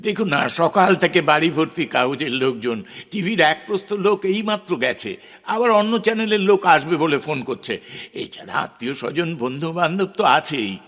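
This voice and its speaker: An actor playing a married poet, romantic, conflicted and self-questioning, in an emotive, sometimes halting audio drama style. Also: male voice, fairly high pitch, animated, fairly bright tone. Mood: busy, pleased. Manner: theatrical, emotive, halting at times. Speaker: actor and first-person narrator. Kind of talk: Bengali audio drama acting with introspective narration. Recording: music under the voice. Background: background music.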